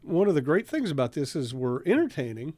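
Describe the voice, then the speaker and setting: A man with a fairly high voice chats in an unscripted radio conversation. The sound is dry and close, as in a studio. He talks conversationally, introducing a radio drama. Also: very animated, brisk, mellow, dry recording. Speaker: radio drama writer and public broadcaster.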